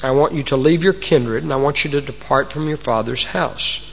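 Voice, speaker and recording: A man's voice: mid-range voice, normal speed, creaky, breathy edge. A man with a Texas accent preaching a sermon to a congregation, folksy and homespun, fond of old sayings. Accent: Texan. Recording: muffled recording in a large reverberant hall.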